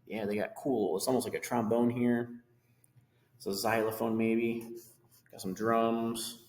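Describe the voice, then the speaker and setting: A man's voice: medium pitch, steady tone, measured pace, darkish tone. A man reading a children's storybook aloud, with casual asides about the pictures. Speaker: reader of a children's book. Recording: echoey room with long reverb.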